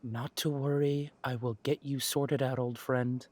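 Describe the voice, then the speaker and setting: A man's voice: medium pitch, steady tone, a little slow, a little mellow, natural voice. A man playing an attentive, courteous male coffee shop keeper in an audio drama, reassuring an old friend. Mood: welcoming.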